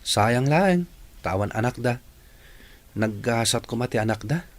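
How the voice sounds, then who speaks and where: A man's voice: mid-range voice, expressive, measured pace, lightly acted. A man playing an earnest, respectful young working student in a radio drama. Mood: thoughtful.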